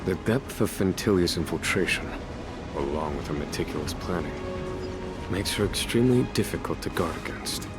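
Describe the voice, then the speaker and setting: Low-voiced, composed commanding general. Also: male voice, expressive. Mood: calm, grave. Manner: measured, formal, authoritative. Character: commanding, composed male general of an army of knights. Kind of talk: video game voice acting. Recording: music under the voice.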